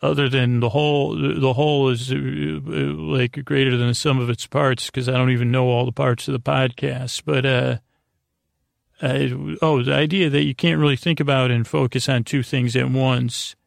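A man hosting a bedtime podcast in lulling, calm, friendly tones, meandering and halting as he repeats himself and trails off. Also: medium pitch, no room sound, clean studio sound. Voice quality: very creaky, gravelly. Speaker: podcast host. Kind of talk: rambling bedtime podcast monologue.

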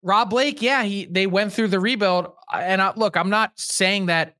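A man co-hosting a casual sports podcast, thinking out loud and weighing his picks. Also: high-pitched, unhurried, no echo, clean studio sound. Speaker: podcast co-host.

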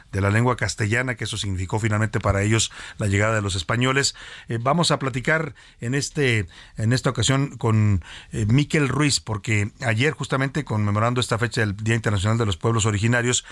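Mexican man, radio host, middle-aged, conversational, mid pitch, dry close mic. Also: a bit bright, no room sound. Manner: conversational, frequent restarts and fillers. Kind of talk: radio interview.

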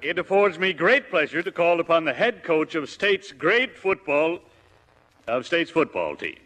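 A man's voice: a little high, very animated, unhurried, sharp tone, full character voice. An American man acting a genial, pompous toastmaster in a 1950s radio comedy, formal and hesitant. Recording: crowd noise, old radio recording.

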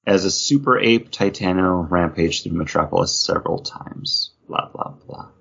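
Husky man, dull lo-fi recording. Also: deep voice, normal speed, fairly bright tone. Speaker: comics podcast co-host. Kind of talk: casual chat reviewing comics. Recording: dull lo-fi sound.

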